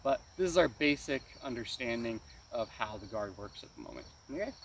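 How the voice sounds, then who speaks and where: A man's voice: mid-range voice, big pitch swings, brisk. Distant-miked male martial arts instructor outdoors. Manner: checks understanding often, punctuates moves with exclaimed sound words. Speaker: karate weapons instructor. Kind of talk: casual hands-on demonstration lesson. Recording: distant mic, noisy outdoor ambience with insects.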